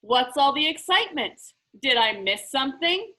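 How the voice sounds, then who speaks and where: A woman's voice: high-pitched, big pitch swings, normal speed, pushed and bright, exaggerated voice. A woman voicing a smug, falsely polite, scheming boy in a children's storybook. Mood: cheerful, flattering. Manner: smooth-talking, boastful, mock-innocent. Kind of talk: children's book read-aloud.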